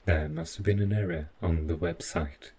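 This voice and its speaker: A man playing an overprotective, stiffly polite robot butler in an audio roleplay, speaking in formal, deliberate phrases. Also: low voice, fairly even intonation, mellow, slightly rough. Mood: calm, formal. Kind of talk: scripted audio roleplay addressed to the listener.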